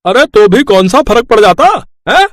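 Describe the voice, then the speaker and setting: A man plays a boastful, freeloading brother-in-law in an audio family story. His voice is strikingly high and dryly recorded, with a blunt, condescending manner. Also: animated, normal speed, no room sound, studio quality. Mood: sneering. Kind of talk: scripted Hindi moral-story acting.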